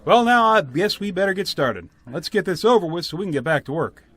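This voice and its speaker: An actor playing a genial, businesslike small-town official with a folksy American accent in a radio play. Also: male voice, high voice, very animated, a bit bright, husky, exaggerated voice. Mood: genial, brisk. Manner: folksy, jovial, brisk. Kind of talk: radio drama acting.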